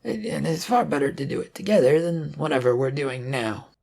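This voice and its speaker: A narrator playing an uneasy, impatient detective in a space whodunit story. The husky, creaky voice sits a little above plain narration and sounds pointed and urgent. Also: mid-range voice, animated, moderate pace, darkish tone. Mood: distrustful.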